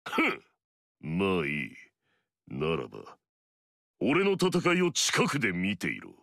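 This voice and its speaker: An actor playing an arrogant, battle-hungry warrior in a video game, curt and scornful with a gravelly edge. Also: male voice, low-pitched, wildly swooping pitch, slow, fairly bright tone, no room sound, clean studio sound, full character voice. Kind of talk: video game story dialogue.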